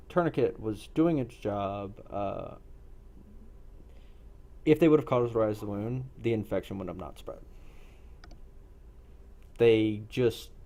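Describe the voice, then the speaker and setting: A man speaking as a game master, explaining a role-play session in a deliberate, measured way. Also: fairly low pitch, dark tone.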